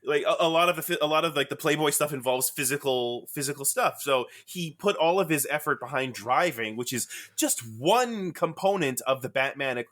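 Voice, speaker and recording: A man's voice: fairly high pitch, animated, a little fast, hardly any pauses, a bit bright. A man co-hosting a podcast in casual chat, rambling, stumbling over his words and laughing easily. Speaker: podcast co-host. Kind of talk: casual film-discussion chat.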